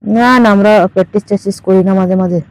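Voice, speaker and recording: A woman's voice: medium pitch, steady tone, racing, a little mellow, lightly acted. A woman with a Bangladeshi accent playing a teasing, demanding older aunt in an improvised phone-call drama. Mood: matter-of-fact. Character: teasing, demanding older aunt who owns a fish farm.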